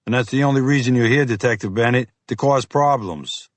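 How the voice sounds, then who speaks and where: A man voicing a gruff, sarcastic, heavyset male FBI agent with a Boston accent, brusque and bossy. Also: mid-range voice, fairly quick, no echo, pitched above the performer's own voice, lightly acted. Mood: scornful.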